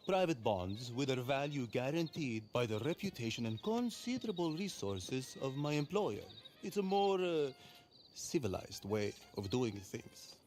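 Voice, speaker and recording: Menacing Italian crime boss, animated delivery. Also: male voice, mid-range voice, a little hiss or hum. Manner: formal, measured, imperious. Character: menacing, refined Italian crime boss, middle-aged man. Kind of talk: video game cutscene acting.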